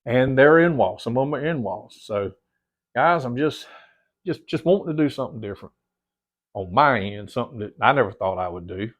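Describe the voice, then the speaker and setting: A man's voice: mid-range voice, expressive, fairly quick. A middle-aged man, a little stuffy-nosed, chatting casually to camera in his home theater room and rambling, repeating words and calling his viewers "guys". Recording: dull lo-fi sound.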